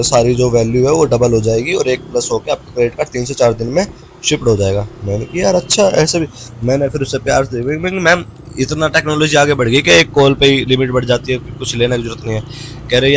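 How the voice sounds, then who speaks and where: A man tells a story casually and colloquially, mixing in English words, as he vlogs from a motorbike. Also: mid-range voice. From Haryana, India. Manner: chatty, colloquial, slangy Hindi-English mix, addressing viewers as brother. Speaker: motovlogger. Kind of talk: casual vlog storytelling while riding a motorbike. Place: outdoors, riding a motorbike on the road. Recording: clear road and engine noise. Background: vehicle and outdoor ambience noise.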